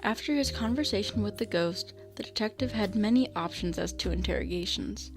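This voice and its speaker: Woman narrating an audio drama, medium pitch, reading from script, bit of music behind. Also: a little fast.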